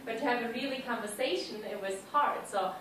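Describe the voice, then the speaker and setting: A woman's voice: a little high, a little fast, noisy background. A woman from Germany, describing her own experience in simple, step-by-step English. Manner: simple plain phrasing, explains step by step. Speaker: panelist. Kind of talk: personal account on a panel. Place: large hall. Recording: echoey hall, mic far off.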